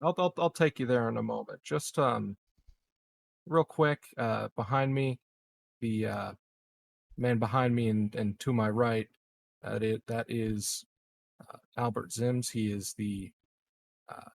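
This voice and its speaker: A man playing a halting, official colony leader in a tabletop game, with formal, hesitant phrasing. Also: medium pitch, gravelly.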